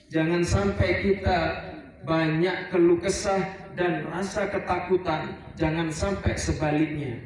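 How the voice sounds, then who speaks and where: A man from Surabaya preaching a relaxed Eid sermon to a housing community, rambling at times and mixing in Arabic prayer phrases. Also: high-pitched, a little hiss or hum. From Surabaya, Indonesia. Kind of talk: Eid sermon to a residential congregation. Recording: very echoey, heard from a distance over a PA.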